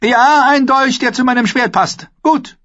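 A man voicing an arrogant, cruel, excitable teenage boy king in a fantasy audiobook, imperious and boastful. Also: very high voice, measured pace, no echo, muffled recording, voice pushed up. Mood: demanding. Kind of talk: fantasy audiobook character acting.

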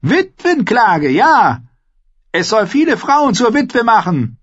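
An arrogant boy king from a fantasy audiobook, with a voice pushed very high and swinging widely. He is cruel, excitable and imperious, boasting as he goes. The sound is slightly dull. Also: unhurried, no room sound, heavily acted. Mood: excited boasting. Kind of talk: fantasy audiobook character acting.

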